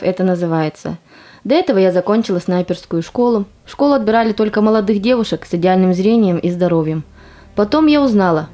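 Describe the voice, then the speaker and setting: A woman's voice: medium pitch, mellow, natural voice. A woman playing a sober wartime sniper recounting her memories in an amateur audio play. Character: sober female war sniper recalling her first hunt.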